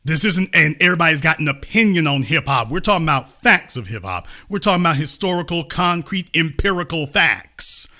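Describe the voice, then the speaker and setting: High, bright-voiced man lecturing hard. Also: lively intonation, a little fast, few pauses. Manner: emphatic, lecturing, repeats points for stress. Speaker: commentator host. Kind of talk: reacting to an interview clip.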